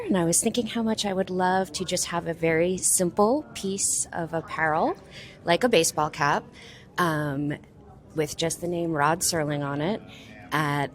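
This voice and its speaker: A woman with a slightly low voice speaks in a conversational interview. She is a writer and vendor talking at a convention.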